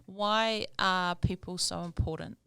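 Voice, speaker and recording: A woman's voice: fairly low pitch, fairly even intonation, a little slow, pushed and bright, smooth, dry recording. A woman with a New Zealand accent hosting a podcast in an informal way, mixing Māori words into her English. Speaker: podcast host. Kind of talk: casual interview hosting.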